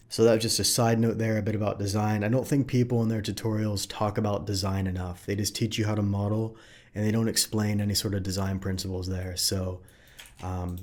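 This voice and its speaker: A man hosting a 3D modeling tutorial, talking casually and thinking aloud as he works, his voice a little raspy from recording. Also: fairly low pitch. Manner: relaxed, thinks aloud while working, frequent fillers. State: slightly raspy from long recording sessions.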